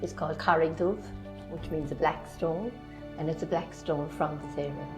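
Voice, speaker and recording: Low-voiced Irish woman in her sixties. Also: fairly even intonation, normal speed, music in the background. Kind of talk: seated interview. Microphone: lapel mic.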